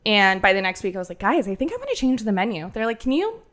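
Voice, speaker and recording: A woman's voice: medium pitch, fast talker. Nasal-voiced woman bartender, chatty. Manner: chatty, animated storytelling with quoted asides. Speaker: bartender and cocktail menu creator. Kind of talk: casual podcast conversation.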